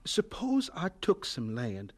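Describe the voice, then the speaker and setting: An actor playing a young hired hand of about twenty in a radio drama, polite, reserved and hesitant. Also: male voice, mid-range voice, wildly swooping pitch, slow pace, heavily acted. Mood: hesitant, wondering. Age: young adult. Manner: deferential, hesitant, subdued.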